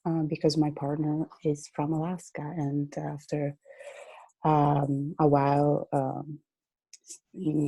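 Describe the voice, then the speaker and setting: Low-voiced woman, hesitant. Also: mellow, dry recording. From Italy. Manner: hesitant, many fillers. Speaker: gallery owner and artist. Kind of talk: interview answers. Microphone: video call.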